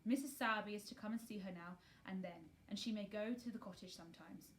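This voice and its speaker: A woman voicing a weary, troubled gentleman guardian in a storybook, speaking formally and with emphasis. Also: mid-range voice, fast, lightly acted. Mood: commanding, tired.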